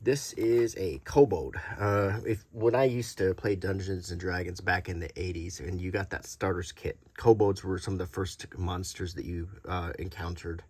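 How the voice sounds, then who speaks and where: Middle aged guy, low raspy-husky voice, halting casual show and tell, kinda rambly and conversational. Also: steady tone, darkish tone, dry recording. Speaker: YouTube host showing vintage tabletop game monster cards.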